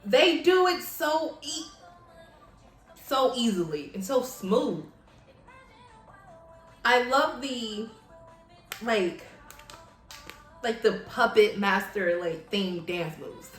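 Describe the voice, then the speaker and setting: Excitable woman doing a music reaction, super animated pitch, gushy, bit of room echo w music behind. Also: very slow, long pauses. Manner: effusive, gushing, giggly. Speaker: reaction video host. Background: pop music from the video being watched.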